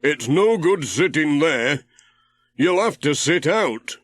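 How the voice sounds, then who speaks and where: Guy doing a hearty bossy sailor bloke for a kids audiobook, raised pitch, swoopy, calls out instructions. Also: expressive, slow pace, dry recording. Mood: brisk, bossy. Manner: confident, instructing, calling out loudly. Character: Ted, brisk, hearty man, bossy amateur sailor. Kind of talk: children's audiobook character voice.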